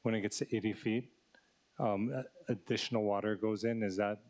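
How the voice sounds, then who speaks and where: A man, a councilmember, questioning officials at a meeting, speaking in a low monotone. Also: normal speed.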